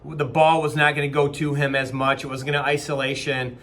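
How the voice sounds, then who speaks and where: A man's voice: mid-range voice, level tone, a little fast, a bit bright. A man in his forties, a company leader, giving an earnest, casual motivational talk from a small office with many fillers and restarts. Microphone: webcam at desk distance.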